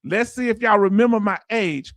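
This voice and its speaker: A man in his late fifties whose voice sits high for a man, heard dry and close with no room sound. He teaches an online audience in relaxed, folksy talk. He keeps asking viewers the same questions until they answer. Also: normal speed, no room sound. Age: 50s. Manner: quizzes viewers with repeated questions. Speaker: veteran benefits streamer. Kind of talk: livestream lesson answering chat.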